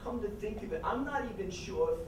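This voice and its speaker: Man acting a high-pitched, excitable neurotic man, echoey. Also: fairly quick. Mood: confiding. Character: neurotic, eager, excitable male therapy patient. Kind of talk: comedic live stage acting. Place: small college theater stage, distant camera. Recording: distant, very echoey, noisy stage recording.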